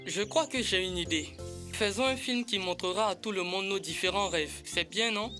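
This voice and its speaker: Lady playing a sceptical cartoon boy, bright punchy voice, faint music. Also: medium pitch, moderate pace, lightly acted. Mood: keen. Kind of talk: dubbed educational cartoon acting.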